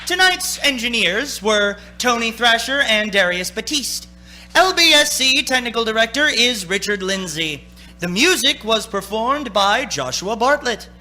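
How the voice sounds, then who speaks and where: A man playing the narrator of a horror radio play, with dramatic, deliberate phrasing. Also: extremely high pitch, expressive, sharp tone, heavily acted. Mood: announcing. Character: grave, suspenseful horror story narrator. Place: stage performance of a radio play. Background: music and sound effects.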